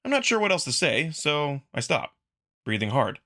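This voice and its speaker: A man's voice: fairly high pitch, very animated, normal speed, bright, forceful tone. A man reading dramatic scripted first-person narration as the narrator of a visual novel.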